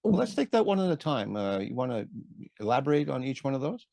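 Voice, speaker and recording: Guy hosting an interview, gravelly voice, lots of uh's, dry close sound. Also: medium pitch, very animated, quick pace, a little mellow, no echo. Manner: hesitant, many "uh" fillers. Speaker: interview host. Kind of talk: interview questions.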